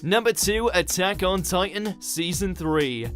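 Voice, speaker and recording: A man's voice: high-pitched, a little slow, over loud music. A man reading scripted narration as the narrator of a ranked countdown video.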